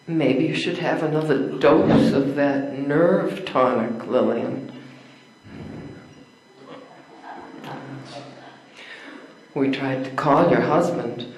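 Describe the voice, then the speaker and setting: A frank, independent schoolteacher woman from a period stage play, read by an older man. The delivery is measured and encouraging, at a medium pitch. It sounds very echoey. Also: darkish tone, slight background noise, lightly acted. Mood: reassuring. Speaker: amateur readers theater performer. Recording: echoey room.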